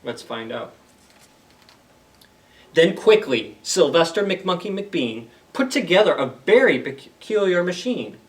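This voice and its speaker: A man's voice: high-pitched, very animated, slow, recorded from a distance. A man hosting a story time for young children, reading aloud and explaining things simply with questions to the listeners.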